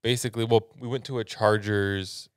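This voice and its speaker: A man's voice: on the low side, steady tone, moderate pace, dry recording. A young American man chatting casually as a podcast co-host, speaking into a close studio microphone. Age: young adult. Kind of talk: casual chat. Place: small indoor podcast studio. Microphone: close studio mic on boom arm, headphones.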